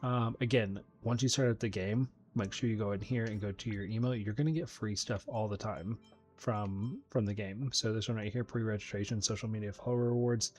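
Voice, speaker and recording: A man's voice: lowish voice, fairly even intonation, brisk, a little mellow, faint music behind. A man giving casual, explanatory commentary as he hosts a video game playthrough. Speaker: gaming video host.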